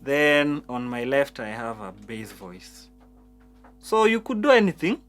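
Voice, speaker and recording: A man's voice: medium pitch, sing-song, unhurried, a bit bright. A man teaching keyboard settings step by step, often repeating words as he talks. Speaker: piano instructor. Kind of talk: keyboard tutorial. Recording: keyboard music behind voice.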